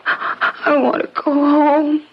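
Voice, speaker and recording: A woman acting a fragile, confused, childlike character in a radio drama, speaking in halting, disjointed phrases. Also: sits high, little pitch movement, heavily acted. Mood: lost, pleading. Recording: muffled, noisy old radio broadcast.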